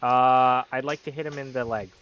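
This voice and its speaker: A man's voice: medium pitch, fairly even intonation, moderate pace, clean tone, muffled recording. A man talking casually as a player in a tabletop roleplaying game.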